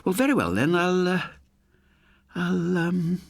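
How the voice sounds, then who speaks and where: Man voicing a fussy, halting old English landlady, pitched up. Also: lively intonation, vocal fry, no echo, clean studio sound, full character voice. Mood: flustered, hesitant. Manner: halting, stammering. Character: fussy, hesitant elderly English landlady, timid and genteel.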